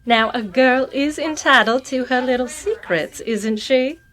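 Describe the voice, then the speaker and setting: Slow, coy Southern belle. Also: female voice, high voice. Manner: coy, drawn-out, flattering. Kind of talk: audio drama acting.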